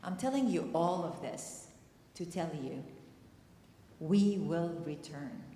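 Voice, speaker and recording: A woman's voice: fairly low pitch, expressive, slow, mellow. Earnest woman in an echoey hall. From Palestine. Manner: emotional, near tears, earnest. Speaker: panel speaker. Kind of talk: personal story at a community discussion. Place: church hall. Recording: echoey hall, faint noise.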